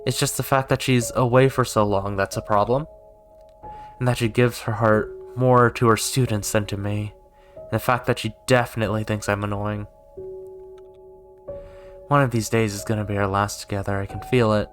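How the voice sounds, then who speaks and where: A man's voice: fairly low pitch, fairly even intonation, moderate pace, natural voice. Male reader as needy, jealous girlfriend in a visual novel, whiny and pleading, music behind. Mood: bitter, resigned. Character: clingy, needy, jealous woman pleading for love.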